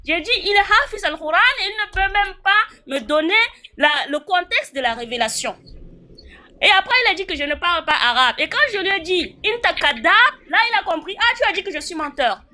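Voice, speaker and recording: High bright-voiced woman, assertive. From Chad. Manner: assertive, rapid-fire citing of sources. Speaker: debate participant. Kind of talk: argumentative live debate.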